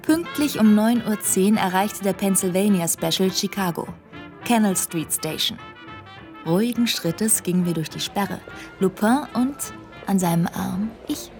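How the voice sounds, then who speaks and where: Young woman narrating, dreamy and half-whispered, a little gravelly, music under it , an actress playing her. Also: medium pitch, unhurried, darkish tone, close to an everyday voice. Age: young adult. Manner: dreamy, breathless, often whispered. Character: young American bank teller dreaming of adventure. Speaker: narrator and heroine. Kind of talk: radio play narration and dialogue.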